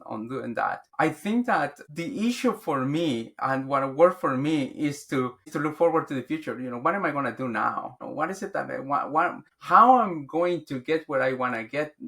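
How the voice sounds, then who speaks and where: A man's voice: a little high, animated, normal speed, gravelly, hardly any pauses, a bit bright. A middle-aged man, a podcast guest speaking earnestly and often restarting his words. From Guatemala. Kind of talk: reflective interview talk.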